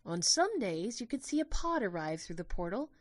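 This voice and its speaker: A woman voicing a gentle, petite female tour guide who explains things politely to a group, in an audio drama. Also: mid-range voice, big pitch swings, normal speed, dry recording, voice pushed up. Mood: pleasant. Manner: explanatory, polite public address.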